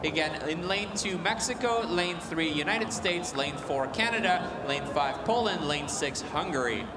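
A man giving play-by-play commentary on a canoe sprint final, pushing louder and faster through the finish. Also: a little high, lively intonation, heavy background noise. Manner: builds to loud, fast calling in the finish. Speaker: race commentator. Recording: echoey outdoor broadcast with crowd and music.

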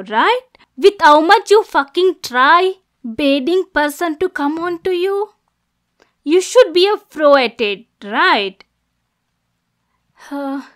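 Woman acting a high-voiced possessive yandere girlfriend. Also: exaggerated voice. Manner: cooing pet names, switching between soft menace and cursing. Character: possessive young yandere girlfriend, manipulative and unstable. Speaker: ASMR voice actor. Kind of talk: ASMR roleplay acting.

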